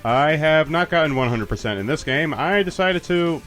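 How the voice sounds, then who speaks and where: A man's voice: on the high side, lively intonation, normal speed, fairly bright tone, faint music behind. A man casually chatting and joking as a commentator while a game's credits roll. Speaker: let's play commentator. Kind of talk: casual game commentary chat.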